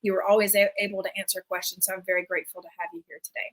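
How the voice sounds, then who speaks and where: A woman's voice: mid-range voice, flat delivery, rapid-fire, fairly bright tone. A woman hosting a podcast, asking her guest questions in a friendly, slightly hesitant way. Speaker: podcast host. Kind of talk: interview questions.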